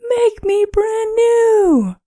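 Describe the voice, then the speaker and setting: A woman's voice: extremely high pitch, expressive, very slow, mellow, no room sound, clean studio sound, pitched above the performer's own voice, exaggerated voice. Woman voicing a dramatic, shivery storybook snowman. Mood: pleading. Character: lovable shivering snowman, cheerful, dramatic, forever cold. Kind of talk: animated storybook read-aloud.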